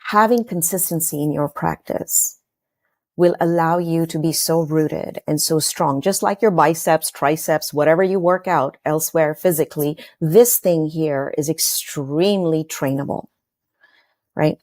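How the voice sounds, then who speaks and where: A woman's voice: low-pitched, unhurried, a little mellow, no echo, clean studio sound. A woman in her forties from Kenya, a podcast guest telling her personal story in a reflective, earnest way with frequent fillers and restarts.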